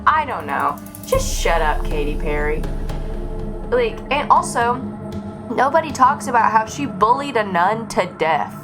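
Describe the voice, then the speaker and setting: A woman's voice: a little high, measured pace. A woman livestreaming a game, chatting casually and rambling in a sarcastic, sweary, griping way. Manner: sarcastic, profane, animated griping. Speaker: live game streamer. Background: game music.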